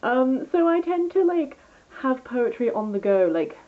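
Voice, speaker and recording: A woman's voice: high voice, very animated, measured pace. Woman doing a chatty book review vlog, kinda rambly and keen, tinny narrow phone-like audio. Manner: rambling, enthusiastic, self-interrupting.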